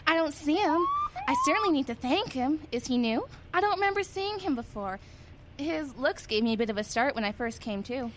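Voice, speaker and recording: A woman's voice: high-pitched, animated, brisk, a little hiss or hum. A woman playing a bewildered, easily embarrassed churchgoer in a radio drama. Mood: embarrassed, grateful.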